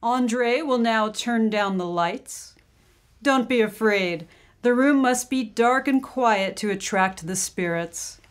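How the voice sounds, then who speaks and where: An actress playing a gracious, solemn seance medium in an old-time radio drama, speaking formally and deliberately. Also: female voice, on the high side. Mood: solemn, soothing.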